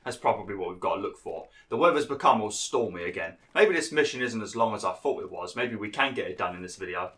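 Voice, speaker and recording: Man, young, lowish voice, bright tone, slightly nasal and hoarse, rambling game commentary. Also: expressive, quick pace, few pauses. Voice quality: slightly nasal, froggy throat. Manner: chatty, rambling asides. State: hoarse, a bit ill. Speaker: gaming YouTuber. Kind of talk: casual gameplay commentary.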